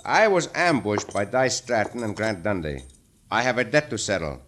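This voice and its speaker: An actor playing a dashing heroic caballero in a vintage radio western, suave and commanding. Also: male voice, fairly low pitch, big pitch swings, normal speed. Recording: old radio broadcast, faint noise.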